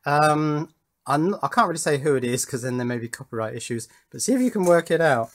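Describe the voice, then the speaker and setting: A man's voice: on the high side, animated, a little fast. A British man presenting an informal hands-on demonstration, thinking aloud with an enthusiastic manner. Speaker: electronics hobbyist presenter.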